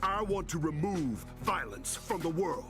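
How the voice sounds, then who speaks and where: Tormented game character: slow, earnest, anguished and emphatic, over a music bed. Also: male voice, a little high, animated. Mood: anguished, resolute. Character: tormented, earnest man trapped in a driving contraption. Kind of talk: game cutscene voice acting.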